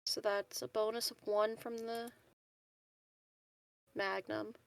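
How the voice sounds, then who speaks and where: Woman gamer calling her moves, slow and hesitant, bit of fry, dry mic. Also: medium pitch, flat delivery, dry recording, low-quality recording. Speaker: player in a tabletop RPG. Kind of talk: casual game chat, narrating own moves.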